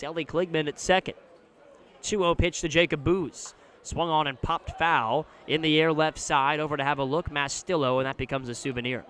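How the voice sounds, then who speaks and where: A man doing live radio play-by-play commentary of a baseball game, describing the action in a measured way that rises when the play picks up. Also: a little high, a bit bright. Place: live at an outdoor ballpark.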